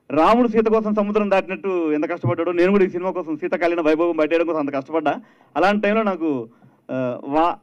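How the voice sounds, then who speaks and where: A male film director speaks at a promotional launch, thanking people. His voice is high and he talks very quickly, slipping in English words. The sound is dull and lo-fi. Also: darkish tone. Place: film launch event, at a microphone. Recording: dull, lo-fi event PA recording.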